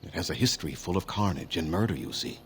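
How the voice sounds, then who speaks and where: A grave elven clan leader from a fantasy game, with a very low voice and formal, measured speech. He sounds weary and solemn, with clear outdoor ambient noise around him. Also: expressive. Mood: solemn warning. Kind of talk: scripted video game dialogue.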